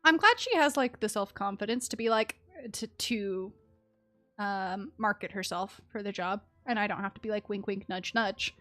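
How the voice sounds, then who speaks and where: A woman game streamer giving casual, chatty live commentary with an animated, empathetic manner. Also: mid-range voice, moderate pace, no echo. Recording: game music behind the voice.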